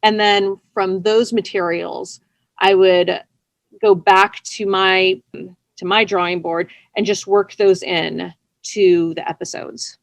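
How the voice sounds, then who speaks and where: A woman's voice: mid-range voice, steady tone, slow pace, gravelly, dry recording. Chatty woman in a casual interview, dry sound. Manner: chatty, self-deprecating, often laughing lightly as she talks. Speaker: interview guest, writer.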